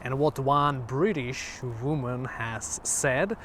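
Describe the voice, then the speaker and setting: A man's voice: medium pitch, takes it slowly, a bit bright, slight background noise. Rambling man vlogging outdoors. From Finland. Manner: rambling, restarts often, laughs between points. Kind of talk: casual talk to camera.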